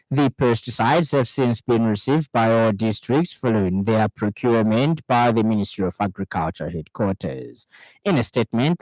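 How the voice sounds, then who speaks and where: A man's voice: lowish voice, darkish tone, dry recording, rough recording. A man with a Zambian accent reading scripted radio news as a presenter in a studio.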